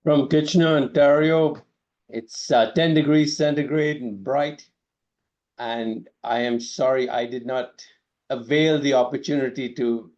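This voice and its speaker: A man's voice: mid-range voice, darkish tone. A man giving a brief, somewhat formal update on a video call. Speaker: meeting participant. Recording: dull lo-fi video call audio.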